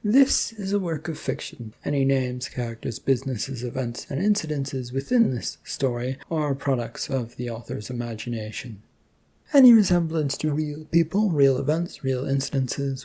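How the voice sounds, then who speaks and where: A narrator reads scripted fiction in a mid-range voice. The delivery is gravelly and husky, with a soft, mellow tone. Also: mid-range voice.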